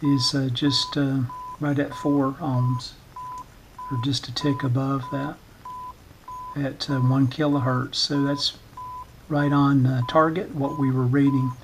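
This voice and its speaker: A man giving an informal hands-on demonstration in short, halting, hesitant phrases, talking at a workbench indoors. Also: medium pitch, level tone, noisy background. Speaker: electronics repair channel host, demonstrator.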